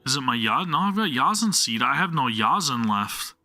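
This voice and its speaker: Bright-voiced man live-streaming a game. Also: mid-range voice, big pitch swings, moderate pace, soft background music. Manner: puzzled self-questioning, talking to viewers. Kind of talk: casual game stream commentary, thinking aloud.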